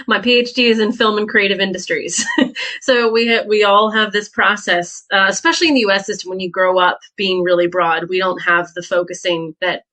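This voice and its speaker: A woman's voice: medium pitch, steady tone, moderate pace, pushed and bright. A woman in her thirties speaking on a video call panel, friendly and laughing easily. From United States. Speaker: guest speaker, university outreach. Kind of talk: panel discussion.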